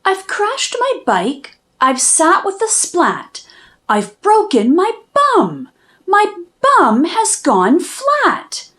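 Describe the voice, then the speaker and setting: Woman reading aloud, high and swooping. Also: very slow. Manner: expressive, dramatic emphasis. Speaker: children's book narrator, channel host. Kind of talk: storybook read-aloud, rhyming verse.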